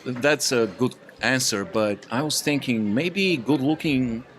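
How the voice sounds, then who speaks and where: A man's voice: mid-range voice, measured pace, fairly bright tone. A man chatting casually as a podcast panelist, explaining his reasoning point by point.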